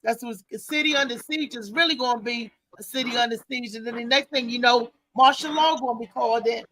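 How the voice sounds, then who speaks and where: A woman's voice: fairly high pitch, brisk, fairly bright tone, a little hiss or hum, low-quality recording. A woman hosting a live panel discussion, speaking emphatically and repeating her phrases. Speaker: talk show host.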